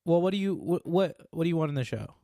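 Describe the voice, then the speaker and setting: A man's voice: fairly high pitch, expressive, fast, gravelly, warm tone, dry recording, soft background music. A man co-hosting a podcast in casual chat, teasing the others with dry humor. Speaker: podcast co-host.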